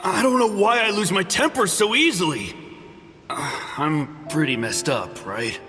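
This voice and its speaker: A man's voice: high-pitched, a little slow, bright, forceful tone, faint music behind. An actor playing a haughty, easily offended male client in a game who praises his counselor. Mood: touchy. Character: devoted male client, snobbish and touchy. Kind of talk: game voice acting.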